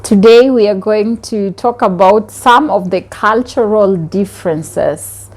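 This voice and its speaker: Kenyan-accented woman vlogger, young adult, slow easygoing delivery, casual and chatty. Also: medium pitch. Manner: chatty, laughs often, slow and relaxed. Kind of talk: casual chat to camera. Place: home kitchen area.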